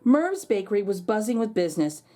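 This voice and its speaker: A woman reading a children's storybook aloud, expressively and dramatically, with drawn-out words. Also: medium pitch, big pitch swings, warm tone, faint music behind. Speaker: children's read-aloud host. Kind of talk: expressive storybook narration for kids.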